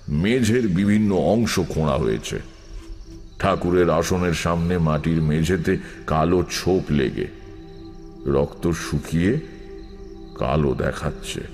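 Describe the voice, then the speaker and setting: Deep, slow male horror narrator. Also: a little mellow. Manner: grave, deliberate, suspenseful. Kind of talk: scripted horror story narration. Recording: long reverb, faint night-insect noise.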